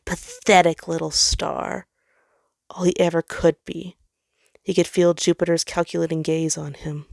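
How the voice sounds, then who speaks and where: A woman's voice: low voice, darkish tone, no room sound, clean studio sound. A woman reading fan fiction aloud as narrator, in a dramatic storytelling style.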